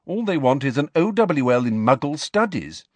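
Storybook role: bookish teenage girl, lightly raised pitch, earnest, absorbed, matter-of-fact, read by a man. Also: big pitch swings, normal speed, darkish tone, no echo. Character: earnest, studious, absent-minded teenage girl.

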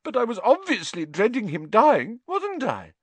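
A man's voice: extremely high pitch, huge pitch swings, moderate pace, no room sound, voice pushed up, exaggerated voice. A man voicing a tearful, melodramatic teenage schoolgirl in an audiobook, sounding as if crying. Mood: tearful, defensive.

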